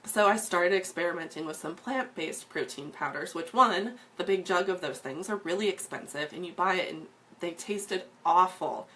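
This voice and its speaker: A woman in her thirties talks to her viewers in a relaxed, personal way. Her voice sits a little low and sounds slightly hoarse and froggy. She fills pauses with plenty of ums, over a faint background hum. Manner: frequent ums, earnest and open. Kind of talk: casual personal story to viewers.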